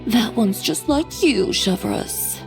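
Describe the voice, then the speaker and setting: A woman playing a cool, composed, stylish young woman in an anime video game, measured and dryly teasing. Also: medium pitch, animated, gravelly. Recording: faint music behind.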